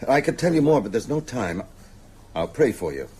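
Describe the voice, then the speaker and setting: A man's voice: mid-range voice, very animated, moderate pace, a little mellow, slightly rough. An actor playing an urgent old man giving warnings in a radio drama. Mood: grave urgency.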